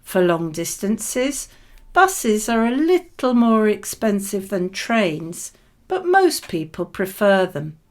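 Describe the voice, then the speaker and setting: A woman reading scripted model answers for a spoken exam, with measured, clearly phrased delivery. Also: medium pitch.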